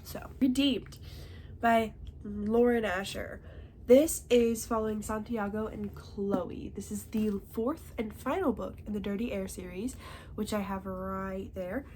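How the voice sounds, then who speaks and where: A woman's voice: medium pitch, a little slow, darkish tone, slight background noise, poor audio. A young woman with a congested voice chatting casually about the books she read, often checking in with "okay?". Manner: chatty, tags remarks with "okay?". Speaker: book reviewer. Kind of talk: casual chat.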